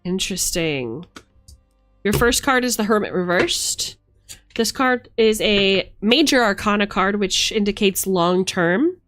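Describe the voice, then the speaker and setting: A woman's voice: mid-range voice, animated, measured pace, fairly bright tone. A woman giving a casual, chatty tarot reading on a live stream, musing aloud in informal language. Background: background music.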